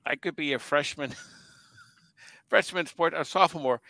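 An older man from Puerto Rico swaps stories in a relaxed interview, laughing softly and circling back on his words. His voice is bright and crisp. The recording is dry, with no room around it. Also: on the high side, slow, dry recording, poor audio. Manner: storytelling, chuckles often, many restarts. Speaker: guest broadcaster. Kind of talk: casual interview chat.

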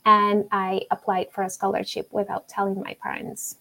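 A woman's voice: mid-range voice, completely flat delivery, normal speed, creaky, pushed and bright, poor audio. A woman, a therapist guest telling her story reflectively with frequent ums. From Venezuela. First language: Spanish. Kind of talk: casual interview conversation.

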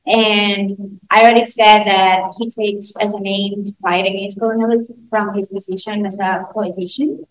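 A woman whose first language is Spanish, hosting an interview podcast in a halting way, with many fillers and restarts. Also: mid-range voice, steady tone, slight background noise, low-quality recording. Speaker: podcast host. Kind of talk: casual interview.

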